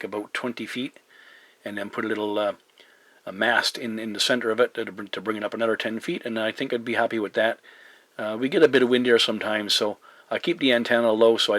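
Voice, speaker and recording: A man's voice: fairly low pitch, steady tone, a little fast, a bit bright, dry recording. Hesitant, polite man on CB radio, dry sound. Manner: hesitant, polite, spells in phonetic alphabet. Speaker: CB radio operator and video maker. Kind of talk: casual radio contact chat with radio protocol. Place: home radio desk, indoors. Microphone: camera pointed at the radio.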